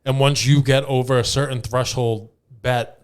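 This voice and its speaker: Clear-voiced man chatting flippantly. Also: medium pitch, fairly even intonation, moderate pace. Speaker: podcast host. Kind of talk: casual joking chat.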